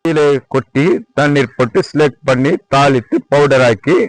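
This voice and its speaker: A man with a Tamil Nadu accent and a mid-pitched voice presents his workshop informally. He speaks in a demonstrative, repetitive way, respectfully addressing the viewer. The sound is dry, with little echo. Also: steady tone, normal speed. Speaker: lime manufacturer presenting own workshop. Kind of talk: informal product tour and sales pitch. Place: at a lime kiln worksite.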